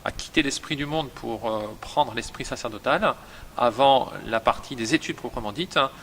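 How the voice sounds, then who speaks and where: Bright-voiced man explaining calmly. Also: medium pitch, a little hiss or hum. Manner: measured, hesitant with many fillers and restarts. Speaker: seminary director. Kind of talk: explanatory interview.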